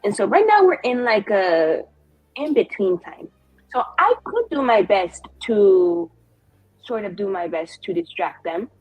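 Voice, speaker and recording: Playful husky woman over background music. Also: mid-range voice, sing-song, measured pace, no room sound, dull audio. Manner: playful, informal. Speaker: tabletop game player. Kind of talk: improvised in-character tabletop roleplay.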